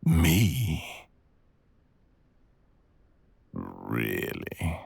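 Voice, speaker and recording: A man playing a cruel, arrogant dragon villain in an intimate ASMR roleplay, gravelly and breathy, with a menacing, teasing drawl that slips into whispers. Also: rumbling low, no echo, full character voice. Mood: teasing, bargaining.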